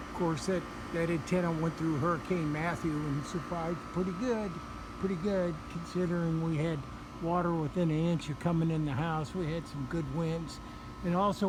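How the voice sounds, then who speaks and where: A man giving a casual, unscripted show-and-tell update outdoors, speaking haltingly and repeating words, recorded on a handheld camera. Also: on the high side, background noise. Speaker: hobbyist video presenter. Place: outdoors in a residential yard. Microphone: handheld camera microphone.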